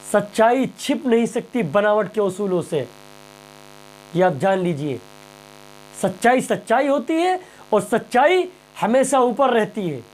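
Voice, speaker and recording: A man's voice: high-pitched, animated, unhurried, a little mellow, a little hiss or hum. A man presenting impassioned news commentary straight to viewers, emphatic and rhetorical, repeating phrases and questions.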